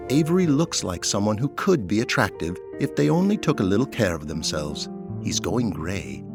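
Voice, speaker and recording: Low voiced guy narrating an audio drama, lots of inflection, music behind him.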